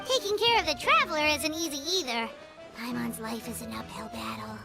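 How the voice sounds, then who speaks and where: Game sidekick: tiny childlike fairy, chirpy, excitable, wildly swooping high pitch. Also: female voice, brisk. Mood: mock weary. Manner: speaks of self in third person, perky. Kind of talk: video game voice acting. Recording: loud music behind the voice.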